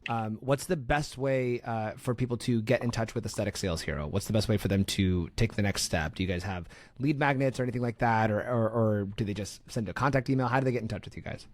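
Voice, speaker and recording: A man's voice: fairly low pitch, fast, no room sound. A man hosting a podcast in an informal, slangy way, rephrasing his thoughts as he goes. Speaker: podcast host. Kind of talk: casual interview chat.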